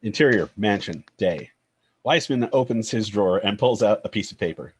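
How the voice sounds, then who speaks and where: A man's voice: on the low side, lively intonation, moderate pace, breathy edge. A man narrating a screenplay's stage directions in a scripted table-read style.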